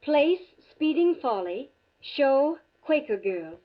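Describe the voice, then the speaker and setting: A woman's voice: high-pitched, sing-song, slow, heavily acted. An American actress playing a young engaged woman, coaxing and practical, in a mid-century radio drama. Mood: intrigued. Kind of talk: 1940s-50s radio drama acting. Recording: muffled vintage radio drama recording.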